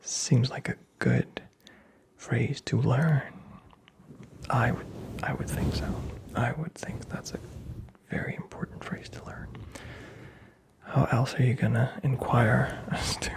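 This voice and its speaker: A man from Brazil, whispering close to the mic as he teaches phrases in a playful, teasing, deadpan way and repeats each one slowly. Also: medium pitch, creaky. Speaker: ASMR language teacher. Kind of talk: whispered ASMR language lesson.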